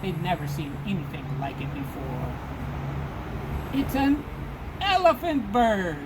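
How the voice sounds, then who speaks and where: A woman reading rhyming verse aloud for children, with deliberate, dramatic phrasing. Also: lowish voice, lively intonation. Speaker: storybook reader. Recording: clearly noisy background.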